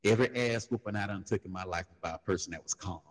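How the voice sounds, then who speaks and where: A man in a casual panel talk, laid-back and storytelling, who jumps into loud high mocking voices when he quotes people. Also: fast talker. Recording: long hall reverb.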